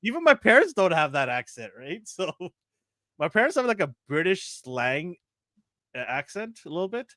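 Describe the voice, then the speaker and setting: A man from Canada co-hosting a casual chat, animated, laughing often and tripping over his words. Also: high-pitched, normal speed, no room sound, studio quality. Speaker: co-host.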